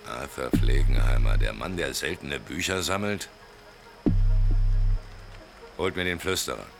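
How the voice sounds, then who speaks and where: An actor playing a menacing, brooding gangster boss in a German radio drama, gruff, commanding and sneering. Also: male voice, a bass voice, lively intonation, unhurried, exaggerated voice. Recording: music behind the voice.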